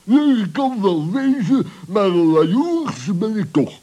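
Whiny, clumsy badger, husky and very animated in pitch, muddled grumbler, sixties children's radio drama. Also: male voice, dull audio, heavily acted. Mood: jealous, whining. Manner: slow, grumbling, muddles his words. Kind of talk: 1960s children's radio play acting.

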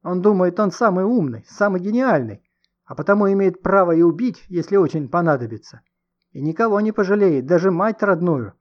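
A man voicing a furious, hysterical woman in an audiobook, loud, agitated and accusing. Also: sits high, animated, fairly quick, dull audio, voice pushed up. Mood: bitter, scornful.

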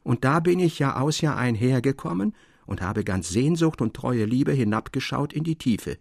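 A man's voice: mid-range voice, animated, moderate pace, gravelly, a little mellow, no room sound, clean studio sound. A man voicing a grief-stricken, imploring old woman, a faithful bride, in a literary audiobook reading. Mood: yearning, tender. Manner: lamenting, imploring.

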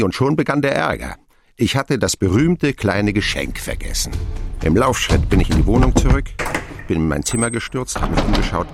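A man's voice: low-pitched, background noise. An actor playing a middle-aged man who wryly recalls his boyhood as narrator of a radio play. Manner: wry, expressive storytelling. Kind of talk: scripted first-person narration, radio play.

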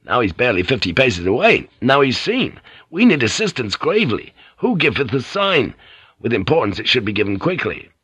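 A man's voice: medium pitch, sing-song, moderate pace, fairly bright tone, husky, dry recording. A man voicing a rugged, blunt ship's pilot who gives terse, direct orders. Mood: tense.

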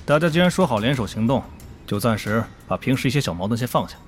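An actor playing a calm, diplomatic male guild leader in a Chinese audio drama, composed and conciliatory. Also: medium pitch, fast, faint music behind, natural voice. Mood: calm, mediating.

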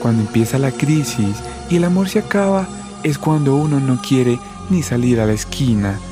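A man's voice: mid-range voice, expressive, normal speed, close to an everyday voice. A man playing a mischievous, materialistic Cupid in a radio comedy sketch, with a Colombian accent and a teasing delivery. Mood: matter-of-fact. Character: mischievous, materialistic male Cupid pushing his love arrows. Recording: music behind the voice.

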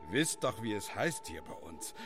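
An actor playing an ageing village schoolmaster in a German radio play, lecturing in a theatrical, emphatic way. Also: male voice, lowish voice, gravelly, heavily acted. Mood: rousing.

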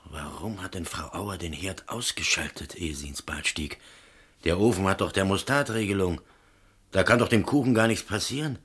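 Very deep-voiced, exacting tradesman. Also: moderate pace, fairly bright tone. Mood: puzzled, firm. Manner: precise, emphatic, clipped technical explanations. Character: matter-of-fact male electrician and shop owner, master of apprentices. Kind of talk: radio crime drama dialogue.

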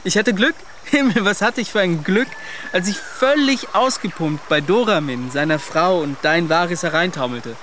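Earnest young adventurer, high male voice. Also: expressive, moderate pace, noisy background. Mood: fervent, earnest. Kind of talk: radio drama acting.

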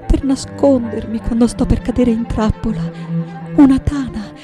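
An actress playing a reflective, anguished and defiant artist in her late nineties in a theatrical, deliberate radio monologue. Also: a little high, steady tone, music in the background, close to an everyday voice. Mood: urgent, pleading. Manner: theatrical, deliberate, dwelling on repeated words. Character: 98-year-old woman artist, intense, reflective, anguished, defiant.

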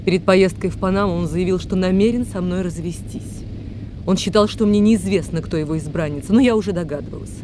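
An actress playing a proud, weary, wealthy widow in a radio play, languid and dramatic in manner. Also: female voice, lowish voice, fairly quick, background noise, close to an everyday voice. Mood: wounded, bitter. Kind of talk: Russian radio play acting.

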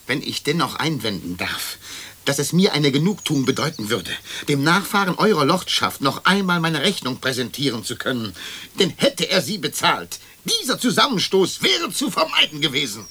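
A man's voice: on the high side, big pitch swings, normal speed, sharp tone, husky, full character voice. An actor playing an indignant, self-righteous tradesman and creditor, querulous and insistent, in a radio play. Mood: bitter. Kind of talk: German radio play acting.

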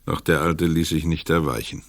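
A man with a Swiss German accent narrating a radio play in a measured, sober way. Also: rumbling low, fairly even intonation, no echo.

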